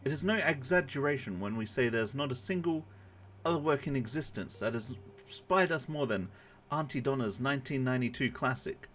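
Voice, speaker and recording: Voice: mid-range voice, expressive, fairly quick, fairly bright tone, a little hiss or hum. A speaker reading a children's book dramatically in a group, slipping into casual asides. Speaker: reader in an online group reading.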